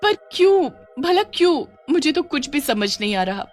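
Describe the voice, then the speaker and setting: A woman plays a frightened young college student in a cartoon horror story. Her voice is pitched high, urgent and pleading. Music runs behind her. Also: big pitch swings, normal speed. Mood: alarmed. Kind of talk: Hindi cartoon story acting.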